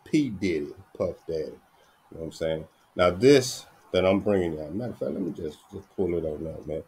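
A man's voice: deep voice, fairly quick, darkish tone, husky. A middle-aged man from the Dallas area hosting an entertainment news channel, chatting casually and tagging "man" and "you know what I'm saying" onto everything. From Dallas–Fort Worth area, Texas. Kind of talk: casual news chat to viewers. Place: small room indoors. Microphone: webcam with headphones on.

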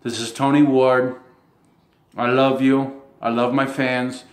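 Man, mid-pitched voice, rambling and halting delivery, chuckles, casual fan address, echoey room sound. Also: little pitch movement. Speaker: self-described model. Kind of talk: casual monologue to camera. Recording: roomy echo.

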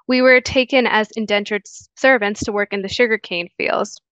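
A young woman talks earnestly through a headset on a video call, stumbling into restarts and fillers as she goes. Her voice sits mid-pitch and sounds dry and a bit dull, with the lo-fi quality of call audio. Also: steady tone, moderate pace, a bit bright, no room sound. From Guyana. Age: young adult. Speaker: interview guest. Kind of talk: casual interview answers. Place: small room indoors.

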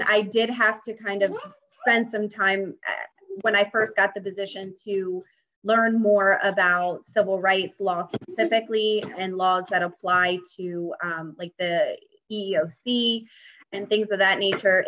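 Woman, American accent, medium pitch, panel discussion, explanatory, muffled webcam audio. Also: pushed and bright. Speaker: panelist, mediator. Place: indoors, home dining room. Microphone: video call computer microphone. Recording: dull webcam video-call audio.

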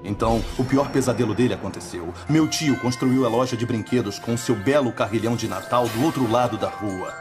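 A man voicing a worried young man gravely telling a spooky legend in a dubbed cartoon. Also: medium pitch, fairly quick, hardly any pauses, close to an everyday voice. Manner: grave, storytelling. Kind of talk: cartoon dub acting. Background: music score.

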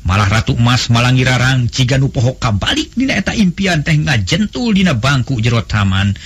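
A man with a Sundanese accent narrating a traditional folk tale in a melodic, unhurried storytelling cadence. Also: mid-range voice, big pitch swings, husky. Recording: faint background music.

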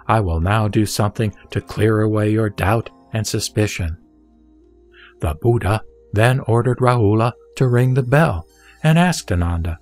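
A man giving a formal, measured, solemn narration of scripture for an audio drama. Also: on the low side, darkish tone. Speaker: narrator and reciter. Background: music.

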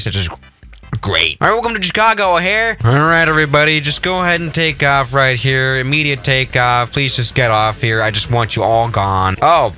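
A man's voice: fairly high pitch, pushed and bright. A young adult man giving casual, jokey gameplay commentary with a sarcastic, mock-exasperated manner. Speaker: gaming video host.

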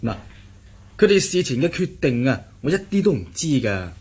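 Radio drama interpreter: chatty, self-assured male insider, animated delivery with a husky edge. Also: on the high side, normal speed. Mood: candid, protesting. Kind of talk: Cantonese radio drama acting.

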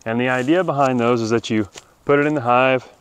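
A man's voice: medium pitch, steady tone, a little fast, slight background noise. A middle-aged man explaining beekeeping chores outdoors in a wooded yard, talking plainly and practically, recorded by a camera at medium distance. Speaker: beekeeping channel host. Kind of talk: outdoor how-to demonstration.

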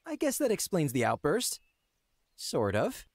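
A man's voice: fairly high pitch, huge pitch swings, a little slow, creaky, a little mellow, dry recording, heavily acted. A young man voicing a wry, exasperated, earnest young lord in a fantasy game, with an incredulous manner. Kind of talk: fantasy game character acting.

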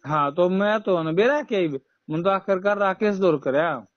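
A man with a Haryanvi accent talks on a recorded phone call, his voice a little high. He sounds like an official, insistent and indirect. The sound is dry and somewhat dull. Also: normal speed, no echo. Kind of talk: casual phone conversation.

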